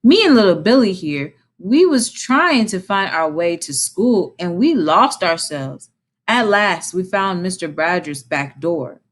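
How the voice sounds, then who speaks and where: A woman's voice: fairly low pitch, lively intonation, a little slow. Woman as a deferential young rustic hedgehog. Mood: earnest. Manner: deferential, uneducated rustic phrasing. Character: young male hedgehog, timid, respectful, rustic servant-like schoolboy.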